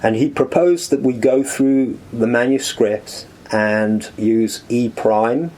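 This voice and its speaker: A man talking as a podcast guest in a living room, reflective and halting, with frequent restarts as he thinks aloud. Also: mid-range voice, a little mellow. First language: English. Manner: thoughtful, halting, many restarts. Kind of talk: reflective conversational interview.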